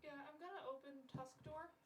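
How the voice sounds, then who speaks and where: A woman's voice: high voice, a little fast. A woman playing a tabletop game, chatting casually with the group during a live session. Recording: distant mic with background noise.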